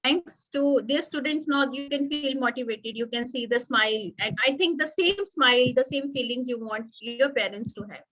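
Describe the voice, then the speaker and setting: A woman's voice: high voice, moderate pace, hardly any pauses. A woman with an Indian English accent hosting a college online event, effusive and mixing in Hindi. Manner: warm, effusive, mixes Hindi. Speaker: college host. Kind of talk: event introduction. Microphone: video call. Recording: dull lo-fi video call audio.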